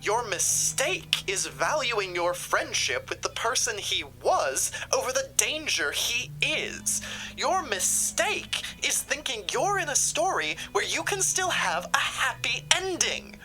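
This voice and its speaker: Woman acting haughty, slow-talking sardonic shopkeeper, swooping pitch. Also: very animated, bright, forceful tone, soft background music, full character voice, poor audio. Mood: scolding. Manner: condescending, theatrical, scolding. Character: haughty, sardonic occult shopkeeper, ruthless and theatrical. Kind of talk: dramatic audio roleplay acting.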